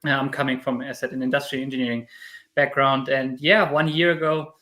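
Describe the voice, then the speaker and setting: A man in his thirties with a German accent, talking casually as an interview guest and telling stories in an animated way. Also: mid-range voice, brisk. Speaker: podcast guest, crypto YouTuber.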